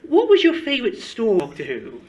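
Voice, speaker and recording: A man's voice: very high-pitched, huge pitch swings, a little fast, darkish tone. A man doing a casual fan interview, eager and repeating himself as he hesitantly works towards each question.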